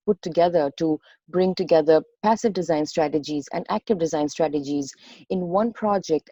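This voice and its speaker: A woman's voice: deep voice, warm tone, no room sound. A woman in her thirties from Bangladesh, working as a university lecturer, introducing her course fluently. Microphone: earphone headset. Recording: dull, dry headset sound.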